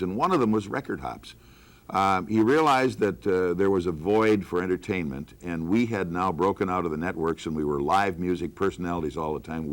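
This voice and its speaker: Older male, low-pitched. Veteran broadcaster telling animated, folksy recollections in conversation. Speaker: veteran radio personality. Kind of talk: reminiscing in a group interview.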